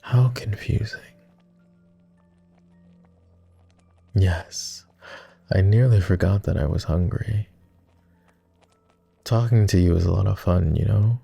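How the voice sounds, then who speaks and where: A man playing a flirty, courtly vampire aristocrat in an intimate roleplay ASMR, with refined, formal, teasing speech in a low, gravelly murmur. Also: a little slow, pauses often, dark tone, no room sound, heavily acted. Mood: tender, flirty. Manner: refined, formal diction with playful teasing and sighs.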